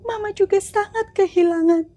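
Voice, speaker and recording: A woman voicing a grieving, gentle mother who speaks softly through tears. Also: very high-pitched, fairly even intonation, moderate pace. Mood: tearful. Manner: soft, tearful.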